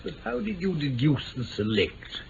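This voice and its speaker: Gravelly British gentleman sidekick, mild, inquisitive, animated intonation, vintage radio drama. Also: a little high, normal speed. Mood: curious. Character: mild, inquisitive English gentleman, detective's loyal companion. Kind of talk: 1950s-60s BBC radio drama acting. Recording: dull vintage radio recording, faint noise.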